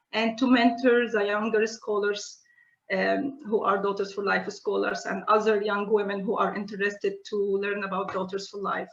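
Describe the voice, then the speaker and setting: A roomy, slightly dull webinar recording of a woman acting as host. She introduces a speaker politely and formally, in a measured way, with a medium-pitched voice. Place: online webinar connection.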